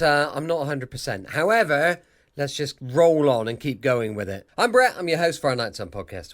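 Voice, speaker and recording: British male podcast host, chatty, animated pitch swings, slightly failing voice, home studio. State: slightly losing his voice. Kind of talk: casual, chatty show introduction.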